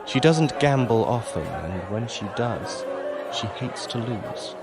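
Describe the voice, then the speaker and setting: A man playing a sly, gentlemanly knight in an audio drama, speaking in a courtly, measured, insinuating way. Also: medium pitch, lively intonation, a loud crowd. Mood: sly, teasing. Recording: loud music bed behind voice. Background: music.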